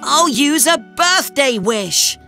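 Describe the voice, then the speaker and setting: High-pitched, cheerful little boy engine. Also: expressive, takes it slowly, sharp tone, faint music behind, full character voice. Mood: cheerful, eager. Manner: bubbly, exclamatory. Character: cheerful, eager young male tank engine, helpful and playful. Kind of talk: children's animated series dialogue.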